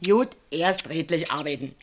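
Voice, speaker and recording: A woman's voice: deep voice, lively intonation, normal speed, slight background noise. An actress playing a cheeky, garrulous working-class older woman with a Berlin accent in a radio crime play. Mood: fussing, cheeky. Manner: garrulous, folksy, colloquial. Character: chatty, cheeky older working-class woman, former cleaner, police witness.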